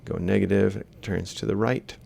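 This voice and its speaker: Very creaky, low-voiced man lecturing. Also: steady tone, moderate pace, a little mellow, slight background noise. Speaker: university robotics lecturer. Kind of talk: informal step-by-step worked-example lecture. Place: screen-recorded lecture voice-over.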